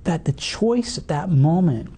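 A man around fifty with a hoarse, worn voice tells his personal story in conversation, earnest and halting with many fillers. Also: a little high, lively intonation, mellow. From Canada. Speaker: guest sharing a personal testimony.

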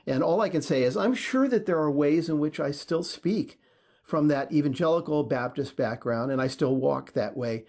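A man with a somewhat higher voice talks through a scripture passage on a podcast. He reads steadily, then explains it in an earnest, thoughtful way to his co-hosts. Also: moderate pace, a little mellow. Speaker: podcast co-host. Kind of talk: casual discussion, reading scripture aloud.

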